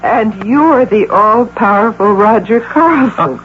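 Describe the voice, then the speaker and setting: An actress playing a polished, sharp woman agency president in a 1980 radio drama, with brisk, guarded delivery. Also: medium pitch, animated. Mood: poised, playful. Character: poised, sharp, high-powered female agency president. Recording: dull, hissy old radio broadcast.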